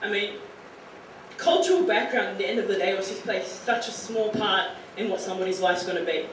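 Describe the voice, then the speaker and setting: A young Australian woman in her late teens, speaking earnestly and casually to high school students, with many fillers like "you know" and "like.". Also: fairly quick. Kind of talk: informal talk to a student audience. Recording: very echoey room, picked up from far off, faint hiss.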